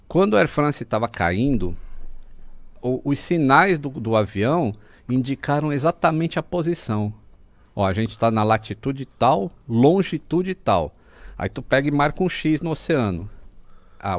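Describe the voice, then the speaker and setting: A Brazilian man in casual podcast conversation, explaining things as a lively storyteller. His pitch moves up and down a lot and he likes to mimic sounds. The recording is close and dry. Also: no echo. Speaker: podcast guest.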